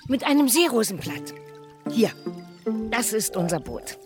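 A woman's voice: a little high, sing-song, unhurried. A woman voicing the caring, practical Moomin mother in a children's radio play, with a soothing, sensible tone. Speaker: voice actor. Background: music score.

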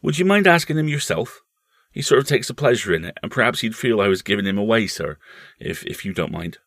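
A loyal manservant, acted by a male narrator in a storybook reading. He is solemn, deferential and earnest, choosing his words carefully. The voice is gravelly and recorded very dry. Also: lowish voice, expressive, a little fast, a bit bright, no room sound, voice pushed up, full character voice. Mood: deferential, reluctant. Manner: respectful, hesitant, earnest.

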